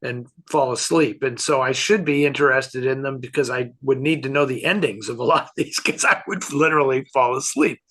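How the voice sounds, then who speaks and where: A man's voice: medium pitch, fairly quick, few pauses, a bit bright. An older man with an American accent, chatting casually and telling stories as a co-host. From San Francisco area, United States. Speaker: co-host answering fan questions. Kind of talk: casual chat, reminiscing.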